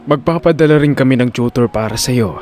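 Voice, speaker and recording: A man voicing a kind, protective wealthy older gentleman in an audio drama, measured and reassuring. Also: mid-range voice, a little hiss or hum, natural voice. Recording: music under the voice.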